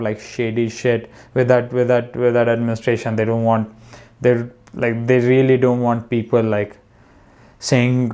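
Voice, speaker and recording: A man's voice: mid-range voice, darkish tone. A young man from India, a comedian guest, talking casually with many restarts and fillers. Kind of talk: casual conversation.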